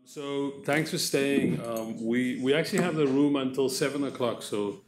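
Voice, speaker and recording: A man's voice: mid-range voice, normal speed. A man from South Africa, a faculty host, introduces a film screening to an audience, projecting his voice because the mic is not working and speaking loosely off the cuff with restarts. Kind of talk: casual talk. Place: large lecture hall. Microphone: far room mic. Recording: echoey lecture hall, far from mic, noisy.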